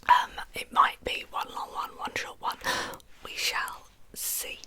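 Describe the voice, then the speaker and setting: A British woman whispers close to silence, her voice all breath and no tone. The sound is very bright and airy, with crisp sibilant edges. She hosts a true crime ASMR series and talks informally, as if chatting through her story. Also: slow pace.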